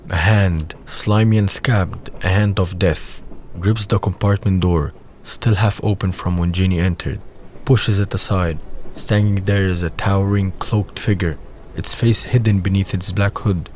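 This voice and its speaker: A man's voice: low voice, fairly even intonation, measured pace, darkish tone. A man reading scripted scene narration for an audio drama. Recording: faint background noise.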